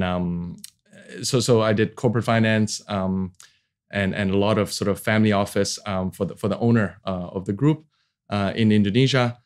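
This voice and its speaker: A man in his forties from Hong Kong, a venture capital founder, talking casually in an interview with many fillers and restarts. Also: fairly low pitch. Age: 40s. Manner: relaxed, many fillers and restarts, laughs easily.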